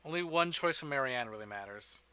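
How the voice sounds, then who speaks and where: A man giving casual, profane livestream commentary on a game, expressive and often talking to himself. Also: mid-range voice, fairly quick, highs cut off. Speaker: streamer playing a visual novel. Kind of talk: casual livestream commentary with dramatic read-aloud of game text. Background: game music.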